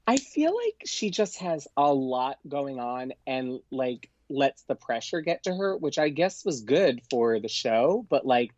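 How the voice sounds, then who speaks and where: A man's voice: mid-range voice, big pitch swings, measured pace, hardly any pauses, darkish tone, no echo, lo-fi sound. A man chatting casually as a podcast guest, conversational and a little tentative in how he puts things. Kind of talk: casual chat.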